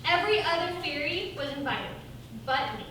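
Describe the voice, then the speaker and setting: A woman's voice: high-pitched, expressive, moderate pace, bright, forceful tone. A woman playing a self-pitying, falsely sweet old witch with a melodramatic, genteel manner in a theatrical comedy. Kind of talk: theatrical stage comedy acting. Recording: distant, very echoey, noisy stage recording.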